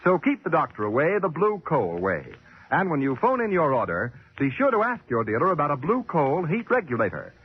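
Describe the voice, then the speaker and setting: Man, 1940s radio announcer, advertising read, fairly high animated voice, dull vintage recording. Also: brisk.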